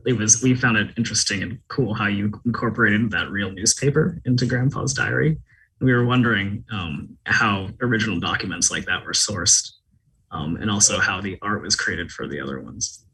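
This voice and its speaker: A man's voice: fairly low pitch, pushed and bright, breathy edge, poor audio. A man asking casual, conversational questions as an interviewer.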